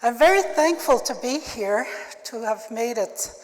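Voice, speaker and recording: An older woman who grew up speaking Ojibwe, giving a keynote talk at a conference in a slow, halting way with many fillers. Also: on the high side, expressive. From Canada. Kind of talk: keynote address. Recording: long hall reverb.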